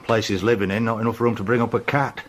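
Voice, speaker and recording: An actor playing a dry, dogged police detective, understated in a radio drama. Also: male voice, fairly low pitch, steady tone, quick pace, a little hiss or hum, natural voice. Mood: dry, thoughtful. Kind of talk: naturalistic radio drama acting.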